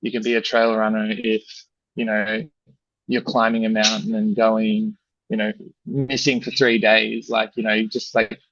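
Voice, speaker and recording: An Australian man with a gravelly, creaky voice talks in a flat, even tone, loose and rambling, full of fillers. The sound is dry and a little muffled, as if close to a modest mic in a dead room. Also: on the low side, a little slow, no echo. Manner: relaxed, rambling, heavy with fillers like "you know" and "like". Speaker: brand founder, guest. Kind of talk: casual interview chat.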